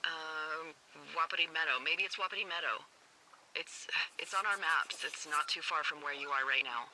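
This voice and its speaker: A woman's voice: low-pitched, normal speed, bright, forceful tone, low-quality recording. A woman playing a wry, profane fire lookout supervisor over a two-way radio, in natural, conversational video game acting with stammered repetitions. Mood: hesitant, doubting. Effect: two-way radio filter. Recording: walkie-talkie sound with faint noise.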